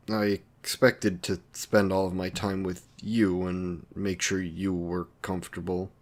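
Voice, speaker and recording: Slow, awkward lonely villain played by a man. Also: sits low. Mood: earnest, unsure. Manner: halting, hesitant, awkward, apologetic. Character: awkward, lonely male villain, earnest, anxious to please. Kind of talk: romantic audio roleplay, addressed to the listener.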